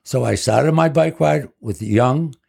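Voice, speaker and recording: Older man in his 70s, guest storyteller, gravelly husky voice, rambling. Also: mid-range voice, a little slow, darkish tone. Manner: rambling, leans on "basically". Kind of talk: casual storytelling.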